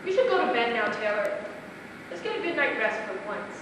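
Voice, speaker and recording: An actress playing a forceful, menacing woman in an amateur stage play, speaking in an insistent, emphatic, commanding way. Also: a little high, very animated, fairly quick. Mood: subdued. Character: forceful, menacing woman pressuring her fearful housemate. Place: small theatre stage. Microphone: distant recorder in the hall. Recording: distant, echoey theatre hall, faint noise.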